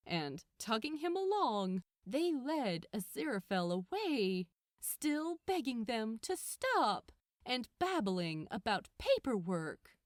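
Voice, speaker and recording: A woman narrating a story from a script in an expressive audiobook style, recorded close and dry. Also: fairly high pitch, no echo. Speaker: audiobook narrator of fan fiction.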